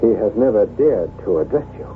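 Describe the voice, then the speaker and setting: A man's voice: on the low side, lively intonation, natural voice. An actor as a grave French nobleman, tinny old radio. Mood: anxious. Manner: formal, earnest, deliberate. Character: troubled French nobleman, grave devoted husband. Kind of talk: 1970s radio drama acting. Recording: tinny narrow-band old radio, faint noise.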